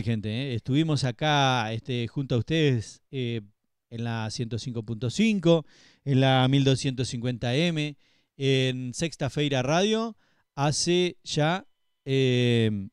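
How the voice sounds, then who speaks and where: A middle-aged man from Uruguay with a Uruguayan Spanish accent, co-hosting a casual radio talk show in a small studio on a boom mic, chatty and teasing. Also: smooth, dry recording. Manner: chatty, teasing, quick interjections. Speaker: co-host. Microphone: studio mic on boom arm, headphones.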